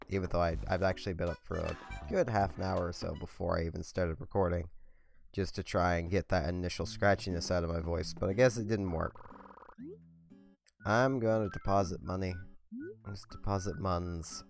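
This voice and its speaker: Hoarse young man chatting over a game. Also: low-pitched, steady tone, normal speed. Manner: rambling, chatty. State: hoarse, scratchy from a sore throat. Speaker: let's play host. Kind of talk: casual gameplay commentary. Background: faint game music.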